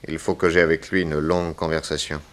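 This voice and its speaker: An actor playing an inquisitive, methodical investigator in a 1960s French radio drama, probing and decisive. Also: male voice, extremely low pitch, level tone, fairly quick.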